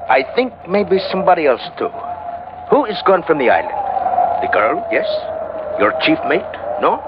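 Sly, chummy pirate villain, Spanish accent, broken English, radio play on a muffled, very noisy vintage recording. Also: male voice, on the high side, huge pitch swings, moderate pace. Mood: wary, persuasive. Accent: put-on Spanish. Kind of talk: old radio adventure acting.

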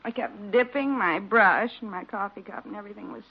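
An actress playing a fluttery, melodramatic hospital nurse with a plaintive, theatrical manner in 1940s radio style. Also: female voice, mid-range voice, brisk, creaky, slightly rough. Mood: flustered. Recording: muffled old radio recording.

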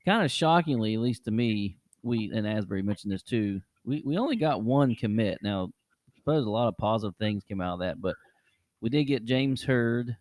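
A man's voice: on the low side, expressive, normal speed, a little mellow. A man hosting a casual sports podcast, loose and chatty, repeating words for emphasis. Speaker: podcast host.

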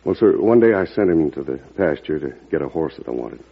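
Deep, husky, folksy old-radio farmer. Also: male voice, lively intonation, heavily acted. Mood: folksy storytelling. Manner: folksy, halting, deferential. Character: humble, plainspoken farmer, neighbor and father. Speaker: actor. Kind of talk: old-time radio drama acting. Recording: tinny, band-limited vintage radio recording.

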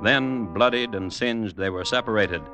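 An actor narrating a radio drama as a grave storyteller, measured, solemn and dramatic. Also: male voice, lowish voice, lightly acted. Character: grave storyteller narrating a macabre family tale. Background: music.

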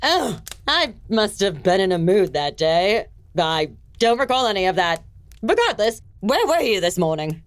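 A woman's voice: mid-range voice, big pitch swings, a little slow, dry recording. A woman playing a haughty, short-tempered, wicked empress with commanding theatrical delivery in a fantasy audio drama. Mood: haughty irritation. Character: imperious, irritable, wicked fairytale empress tyrant.

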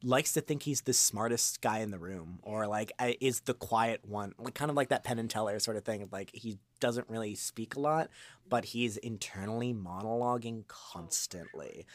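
A man chatting as an interview guest, expressive and thinking aloud in winding explanations about his craft. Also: on the low side, normal speed, no room sound. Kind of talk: animated conversational interview about acting.

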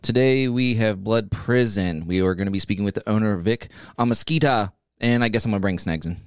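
A man's voice: lowish voice, brisk, clean tone, dry recording, studio quality. A man co-hosting a podcast, chatting in a laid-back, conversational way and asking the guest questions. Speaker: podcast co-host. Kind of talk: casual podcast chat.

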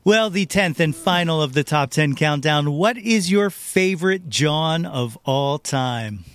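A man's voice: fairly high pitch, lively intonation, unhurried, a bit bright, clear, resonant tone, no room sound. A man hosting a podcast, putting questions to his guest in a casual interview style.

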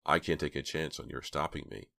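A man voicing a big, cold, menacing male killer in a storybook reading, speaking low and slow. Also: gravelly, pushed and bright, dry recording, clean studio sound, exaggerated voice.

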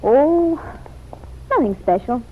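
Sassy, animated young radio-drama woman. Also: sits high, slow pace. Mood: wry. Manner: wry, teasing. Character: sassy, quick-witted young woman, playfully cutting. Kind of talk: old-time radio drama acting. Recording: dull, noisy vintage radio recording.

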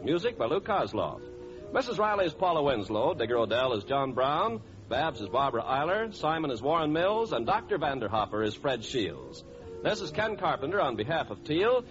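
Animated male 1940s radio announcer. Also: on the high side. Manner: polished, emphatic salesman delivery. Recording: dull old radio broadcast recording.

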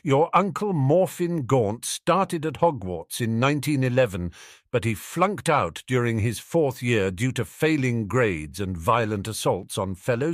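A man voicing a cocky, mocking teenage boy wizard who talks quickly and showily. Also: fairly high pitch, no echo, studio quality, pitched above the performer's own voice, lightly acted. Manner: sneering, quick, showy.

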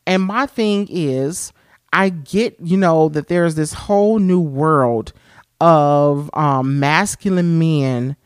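A man with a high-pitched voice hosting a podcast, talking frankly and expressively with many "you know" fillers. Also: slow, clear, resonant tone, dry recording, studio quality. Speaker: podcast host. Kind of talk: candid solo podcast monologue.

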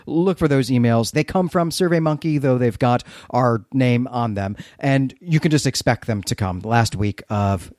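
A man speaks as the co-host of a literary podcast. His voice sits at a middle pitch, and he explains things in a chatty, conversational way. The sound is dry, with no room around it. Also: moderate pace, few pauses, darkish tone, no room sound.

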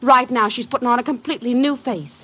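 An actress playing a catty, bitter wife, needling with sarcastic mock formality in old-time radio drama style. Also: female voice, on the high side, fast, pushed and bright, husky.

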